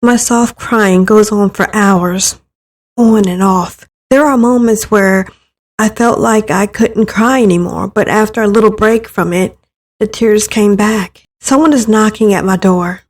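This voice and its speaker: A woman's voice: mid-range voice, unhurried. A woman reading scripted first-person narration for a dramatic visual novel story.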